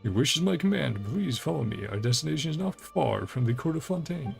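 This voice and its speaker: A man voicing a grandiose, eager treasure-hunting adventurer from a video game, with florid, courtly flattery and theatrical delivery. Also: a little high, a little fast, gravelly, faint music behind. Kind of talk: game dialogue read aloud in character.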